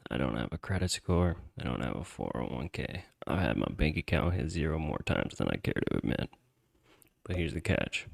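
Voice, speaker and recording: A man's voice: very deep voice, very animated, moderate pace, vocal fry. A man hosting a podcast, telling a personal story in a casual, slangy, conversational way with some swearing.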